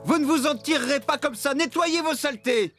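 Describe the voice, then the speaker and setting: An actor voicing an excitable, easily exasperated farmhand in a children's cartoon, theatrical and exclamatory. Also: sits high, fairly quick, close to an everyday voice. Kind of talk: French animated children's series dialogue. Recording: music behind the voice.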